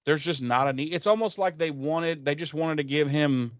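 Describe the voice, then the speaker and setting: A man with a smooth, clean voice and average pitch, recorded very dry. He talks casually as a podcast co-host, rambling through a recap with sarcasm and swearing. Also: moderate pace, no room sound.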